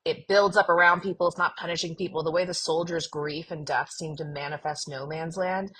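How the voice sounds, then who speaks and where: Husky, enthusiastic woman podcast co-host. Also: low-pitched, fairly even intonation, brisk, a bit bright. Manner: informal, enthusiastic. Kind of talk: casual podcast discussion.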